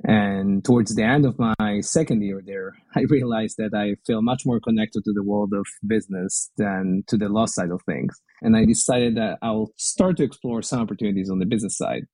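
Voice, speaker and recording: A man's voice: fairly low pitch, warm tone, no echo, poor audio. A middle-aged Israeli man with an Israeli accent, speaking English as a second language as a guest in a podcast interview. He talks thoughtfully and sometimes repeats words while he searches for the phrasing. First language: Hebrew. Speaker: guest, venture investor.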